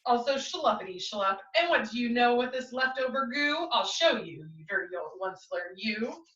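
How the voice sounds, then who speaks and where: A woman's voice: fairly high pitch, animated, moderate pace, a bit bright. A woman reading a storybook, voicing an indignant, scolding old forest creature who snaps out declamatory rhymes. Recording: roomy, slightly dull, distant microphone.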